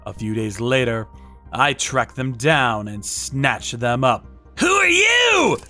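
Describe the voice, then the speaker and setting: A man narrating a manga dub as a first-person story, with a measured, menacing, theatrical delivery. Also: mid-range voice, fairly even intonation, sharp tone, faint music behind.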